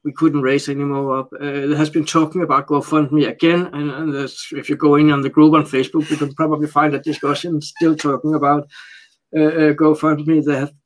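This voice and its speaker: A man's voice: a little high, little pitch movement, rough recording. A man from Denmark with a Danish accent speaking English as a second language in a casual interview, often searching for his words. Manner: halting, searches for words while translating. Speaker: interview guest. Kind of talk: casual unscripted interview talk.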